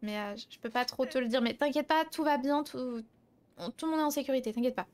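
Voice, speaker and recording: A high-pitched young woman acted by a roleplayer in an online game story. She confides hesitantly and casually, as an anxious friend caught up in love troubles. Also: fast talker, lightly acted. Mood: reassuring, evasive. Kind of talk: improvised roleplay dialogue, casual chat.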